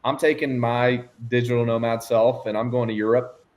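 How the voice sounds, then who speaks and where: A young man chatting casually on a speed date, relaxed and agreeable, often repeating himself. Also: medium pitch, moderate pace. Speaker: dating show lead.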